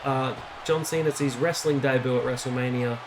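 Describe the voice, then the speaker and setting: Guy casually rambling about wrestling trivia while gaming, normal pitch, really loud game noise behind him. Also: fairly even intonation, moderate pace. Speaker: gaming video commentator. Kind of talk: casual gameplay commentary. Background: wrestling game audio.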